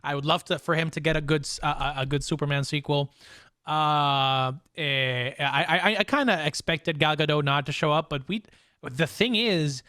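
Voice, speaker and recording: Male podcast host, higher pitch, dry close sound, excitable and slangy, casual entertainment review chat. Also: moderate pace, no echo. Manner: excitable, slangy fan talk.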